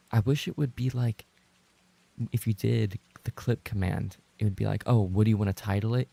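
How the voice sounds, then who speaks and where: A man's voice: fairly low pitch, dark tone. A man chatting casually on a livestream, reading out viewer messages and reacting with playful mock indignation, swearing and repeating himself when flustered. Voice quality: gravelly, creaky. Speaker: livestream host and roleplay voice actor.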